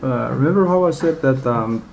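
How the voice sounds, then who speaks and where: A man giving an unscripted, casual movie-review rant in a halting, blunt way, in a homemade recording. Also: mid-range voice, expressive, vocal fry, a little mellow. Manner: halting, rambling delivery. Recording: homemade, radio music playing behind. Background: music from a live radio.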